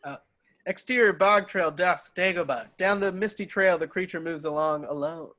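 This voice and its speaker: A man's voice: sits high, moderate pace, sharp tone. A man reading stage directions as the narrator of an amateur screenplay table read.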